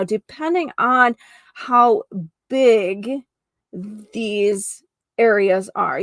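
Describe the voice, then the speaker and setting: Woman podcast host teaching spiritual stuff, lots of vocal fry, unhurried pace, dry close sound. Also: mid-range voice, no echo. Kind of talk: informal spiritual lesson, part read from notes.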